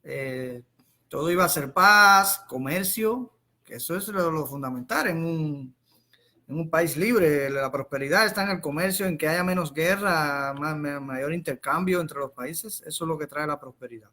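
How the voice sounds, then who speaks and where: A Cuban man with a high-set, sharp and bright voice talks informally as a political commentator on a live stream. He explains his points in a halting way, doubling back on words and phrases and asking the listener rhetorical questions. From Cuba.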